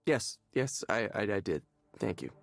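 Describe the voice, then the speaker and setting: An actor playing a desperate, anxious man in a visual novel, with a halting, pleading manner. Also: lowish voice, animated, vocal fry. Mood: sheepish, grateful. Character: desperate, anxious male client in counseling. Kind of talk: naturalistic visual novel voice acting.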